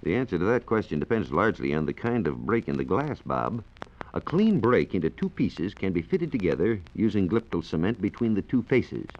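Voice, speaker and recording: Low-pitched guy explaining science on 1940s radio, lively intonation, measured and precise, dull hissy old recording. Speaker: science reporter on a radio program. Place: radio studio.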